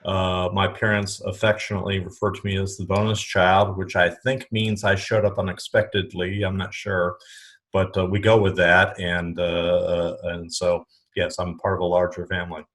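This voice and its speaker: Low-voiced man in thoughtful conversation. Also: level tone, moderate pace, fairly bright tone. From Chicago suburbs, USA. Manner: thoughtful, repeats words as he restarts phrases. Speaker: guest, retail educator. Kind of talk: conversational podcast interview.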